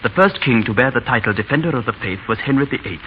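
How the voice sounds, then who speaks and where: An actor playing a shy, formal English schoolmaster husband with hesitant speech and dry humour, in 1930s radio drama style. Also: male voice, mid-range voice, animated, fast, close to an everyday voice. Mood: dry lecturing. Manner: hesitant, formal, dryly humorous. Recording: dull, noisy 1930s radio recording.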